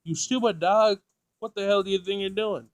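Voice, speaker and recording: A shameless, short-tempered dog owner from a visual novel, acted by a man reading aloud, scolding and exclamatory. The voice sits a bit high and the sound is dry. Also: fairly even intonation, normal speed, dry recording, natural voice. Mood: exasperated, scolding. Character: shameless, irritable perverted man walking his dog.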